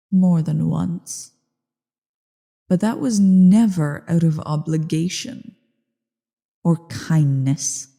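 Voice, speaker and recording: A woman voicing an ancient evil female dragon in a slow ASMR roleplay monologue, haughty, bored and menacing, with a low, husky, creaky delivery. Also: warm tone, heavily acted. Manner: haughty, disdainful, deliberate. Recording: long cavernous reverb.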